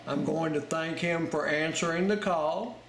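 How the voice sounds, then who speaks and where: Casting: male hobby instructor, slow and plainspoken, walking through steps informally, roomy sound with loud background noise. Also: on the high side. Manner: plainspoken, step-by-step, explains each action as he goes. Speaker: amateur radio Morse code instructor. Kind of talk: informal hands-on tutorial narration. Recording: roomy, very noisy, with clicking and beeps.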